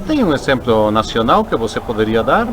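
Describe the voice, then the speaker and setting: A man's voice: medium pitch, sing-song, fairly quick. A male interviewer asks short questions and affirms the guest with brief interjections. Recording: outdoor crowd noise.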